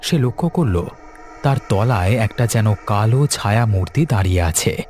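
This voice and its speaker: A man's voice: on the low side, animated, normal speed, darkish tone, soft background music. A man giving dramatic scripted narration for a cartoon ghost story.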